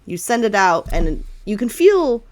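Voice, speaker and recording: A woman with a medium-pitched voice runs a tabletop game. She is chatty and playful, improvising narration and questions as game master in a casual style. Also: big pitch swings, measured pace.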